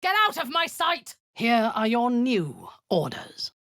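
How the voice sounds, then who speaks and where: An actress voicing a cold, imperious woman warrior-commander and zealous cultist in a video game, speaking in a low, husky, half-whispered menace. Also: huge pitch swings, slow pace, fairly bright tone, no echo, clean studio sound, heavily acted. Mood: commanding. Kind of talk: video game character acting.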